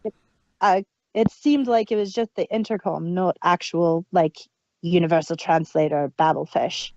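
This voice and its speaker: A woman's voice: on the low side, moderate pace, darkish tone, no room sound. A woman co-hosting a casual review podcast. She talks in a chatty way, with hesitations and frequent restarts. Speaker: podcast co-host. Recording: dull lo-fi dry home mic.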